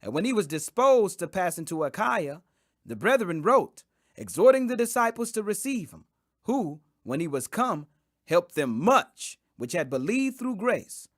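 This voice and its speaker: A man's voice: sits high, big pitch swings, measured pace, no room sound, studio quality. A man reading scripture as scripted audiobook narration, in an emphatic, dramatic manner. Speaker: scripture reader. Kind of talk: scripted audiobook narration of King James Bible.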